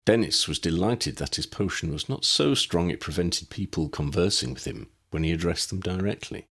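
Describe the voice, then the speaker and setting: Low voiced guy reading his fantasy story aloud, lots of up and down in the voice. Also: lively intonation, moderate pace, vocal fry, fairly bright tone, husky. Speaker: audiobook narrator. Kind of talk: storybook narration.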